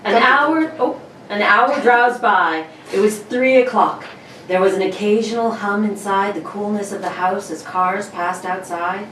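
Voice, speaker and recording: A woman's voice: medium pitch, measured pace, a bit bright. A woman narrating a scripted radio drama as storyteller. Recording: roomy, distant mic, faint noise.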